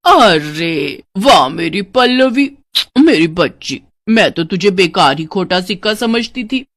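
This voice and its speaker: A woman voicing a cunning, greedy middle-aged stepmother in a children's story, with drawn-out theatrical gushing and scolding. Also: medium pitch, lively intonation, a bit bright. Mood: gushing, fawning.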